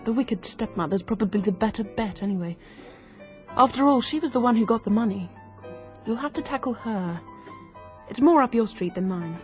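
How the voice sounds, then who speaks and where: An actress playing a keen, quick-witted young woman turned amateur sleuth in a radio drama, brisk, confident and persuasive. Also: on the high side, lively intonation, faint music behind, lightly acted. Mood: scheming, brisk.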